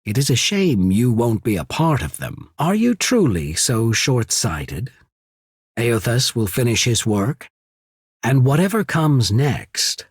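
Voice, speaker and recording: An actor playing a calm, calculating statesman and spymaster in a video game, with soft, formal, deliberate speech and cool ambition. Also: mid-range voice, breathy edge, no echo, clean studio sound, heavily acted. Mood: cold, resolute. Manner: measured, formal, deliberate diction. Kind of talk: scripted game dialogue.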